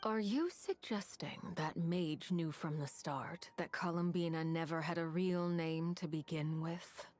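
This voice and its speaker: A woman voicing a composed, serious young woman in a fantasy video game, speaking in a measured, formal way. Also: deep voice, steady tone, darkish tone. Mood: serious, resolute.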